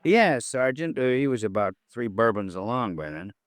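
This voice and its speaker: A medium-pitched male voice, an actor as an American bartender from a cheap joint in an old radio drama. He is folksy and slangy, talkative and eager to explain himself. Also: big pitch swings, moderate pace, lightly acted. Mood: recalling. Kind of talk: 1950s radio drama acting.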